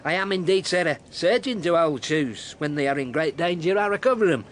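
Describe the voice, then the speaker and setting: A man's voice: fairly high pitch, expressive, brisk, a bit bright, a little hiss or hum. An actor playing a cheeky, punning male cobbler in a radio drama.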